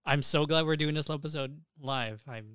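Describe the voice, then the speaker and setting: Podcast host, young male, clean dry sound, clear voice, excitable and profane, informal delivery. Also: a little high, moderate pace, no echo. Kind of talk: casual podcast chat.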